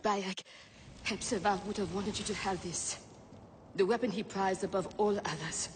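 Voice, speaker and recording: A woman's voice: medium pitch, fairly even intonation, normal speed, noisy background, close to an everyday voice. An actress playing a shaken, devout village woman of ancient Egypt in a video game drama, earnest and grave. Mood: solemn.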